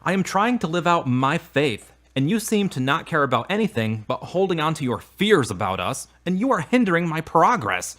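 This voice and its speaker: A man's voice: fairly high pitch, big pitch swings, moderate pace, few pauses, fairly bright tone. An actor playing a frustrated, earnest man in audio drama dialogue, speaking in formal, carefully worded phrases. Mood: defensive, heated. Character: frustrated, earnest man in a strained romance, newly religious.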